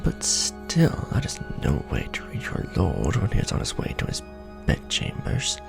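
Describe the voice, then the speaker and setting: A man playing a courtly, ancient vampire lord in an ASMR roleplay, speaking intimately and formally in a gravelly, often breathy half-whisper with measured pauses. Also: low voice, wildly swooping pitch, exaggerated voice. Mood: dry, indignant. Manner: formal, archaic wording, measured delivery with pauses. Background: music.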